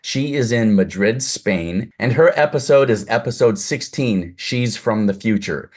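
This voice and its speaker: A man's voice: lowish voice, expressive, unhurried, darkish tone. Effusive male interview host. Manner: effusive, complimentary. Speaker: host, producer. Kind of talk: casual interview chat.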